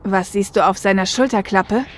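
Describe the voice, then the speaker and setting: A woman playing a seasoned, protective female runner in an audio drama, with measured, matter-of-fact delivery. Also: mid-range voice, natural voice. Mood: concerned. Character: seasoned female shadowrunner getaway driver, protective older sister.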